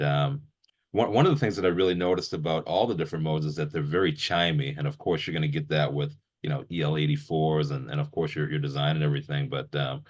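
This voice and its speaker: A man hosting a gear show, chatting casually and agreeably as an interviewer and often repeating his phrases. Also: rumbling low.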